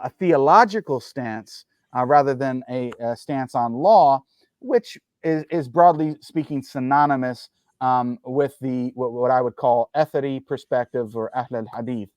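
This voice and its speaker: Measured man, dry close sound. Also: medium pitch, a little mellow, no echo. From New Jersey, US. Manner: measured, qualifying, frequent ums and uhs. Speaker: guest. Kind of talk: careful conversational explanation.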